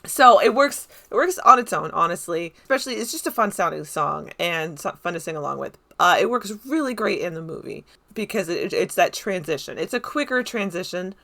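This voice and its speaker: A woman's voice: fairly low pitch, expressive, fairly quick, gravelly, few pauses, fairly bright tone. A woman giving casual, unscripted film commentary in a rambling, enthusiastic, conversational way. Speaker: video essayist reviewing a film.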